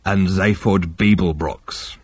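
Man doing a croaking, brutish alien captain. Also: steady tone, takes it slowly, a little mellow, full character voice. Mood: flat, reporting. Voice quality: croaking, rumbling, grunting. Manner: blunt, terse, low-key. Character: brutish, grim male alien starship captain.

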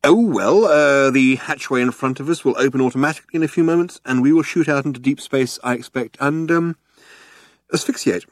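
A man voicing a quick-witted, breezy alien traveller in a comic audiobook, persuasive and flippant, improvising haltingly. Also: mid-range voice, dry recording, voice pushed up, natural voice. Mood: resigned, flippant.

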